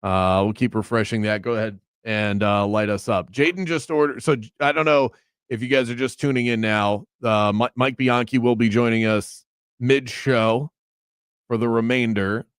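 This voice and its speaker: A man's voice: mid-range voice, moderate pace, smooth, no room sound, clean studio sound. Chatty male sports radio host. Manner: chatty, teasing, thinks aloud. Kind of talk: casual sports talk radio chat. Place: radio studio. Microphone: studio mic.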